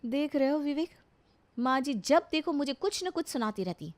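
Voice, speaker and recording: A woman acts a young city daughter-in-law in a cartoon family drama. The voice sits fairly high. She sounds dutiful and earnest, with a polite but plaintive, put-upon manner. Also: expressive, moderate pace. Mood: aggrieved. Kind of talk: Hindi animated family story acting.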